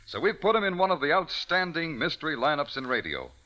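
Bright, animated old-time radio announcer, male. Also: fairly high pitch, fast, poor audio. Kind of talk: 1940s radio announcing, scripted.

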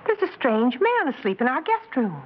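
An actress playing an anxious, easily indignant suburban wife in a 1960s radio drama. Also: female voice, sits high, big pitch swings, normal speed. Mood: puzzled. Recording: dull 1960s radio recording.

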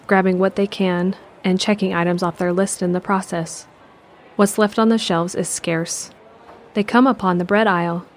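A woman narrating an audio drama in a calm, measured, descriptive way. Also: on the low side, vocal fry, slight background noise. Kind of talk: scripted narration.

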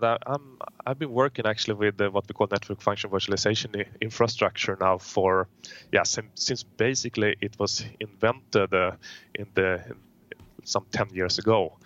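A low-pitched Swedish man speaks English in a measured, hesitant way, often stopping on an uh and repeating words. His voice comes through dull and lo-fi, as from a remote connection. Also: fairly even intonation, fairly bright tone. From Sweden. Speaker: guest, telecom executive. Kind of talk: interview answers.

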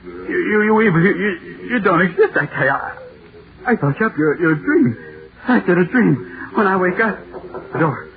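An actor playing a haunted horror playwright who stammers and repeats himself, in 1930s radio drama style. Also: male voice, high voice, lively intonation, moderate pace, vocal fry, narrow, tinny audio, a crowd in the background. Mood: panicked. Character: terrified radio playwright haunted by his own monster. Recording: scratchy, noisy 1930s radio recording.

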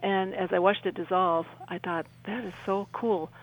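An older woman, around her sixties, talking as an interview guest in a halting, rambling way and often rephrasing mid-sentence. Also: mid-range voice, fairly even intonation, poor audio. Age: older, about 60s. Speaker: interview guest, UFO experiencer. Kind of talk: conversational radio interview storytelling.

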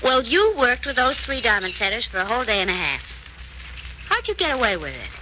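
An actress playing a detective's bantering, curious companion in 1940s radio drama style, teasing and inquisitive. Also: female voice, fairly high pitch, very animated, brisk, pushed and bright. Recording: muffled, noisy old radio recording.

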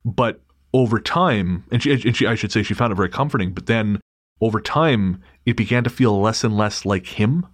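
A man with a low voice talks in a wry, joking way, trailing off and restarting his sentences as he thinks. The sound is dry and close, with no room around it. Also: normal speed, no room sound. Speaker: podcast host. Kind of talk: casual paranormal chat and reading a listener story.

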